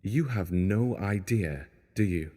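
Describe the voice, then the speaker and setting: A man plays a world-weary castaway engineer in an audio drama. His low voice is grave and pessimistic, a little husky, and rings with long reverb. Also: takes it slowly, mellow. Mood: resigned.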